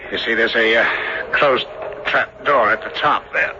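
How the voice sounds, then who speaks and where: An actor playing a grave, cautious small-town doctor in a radio mystery, dramatic and measured in narration and dialogue. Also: male voice, medium pitch, noisy background, lightly acted. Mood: cautious, uneasy. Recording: muffled old radio recording. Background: music.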